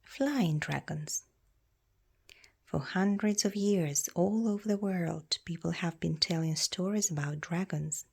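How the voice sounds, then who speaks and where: A woman reading a children's storybook aloud in a measured, expressive storytelling way. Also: fairly low pitch, gravelly, husky. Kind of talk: read-aloud storybook narration.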